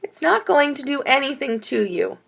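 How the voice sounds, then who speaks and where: Calm primary school teacher explaining things to pupils, acted by a female narrator, high, expressive pitch. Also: normal speed, creaky, full character voice. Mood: reassuring. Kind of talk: children's audiobook character.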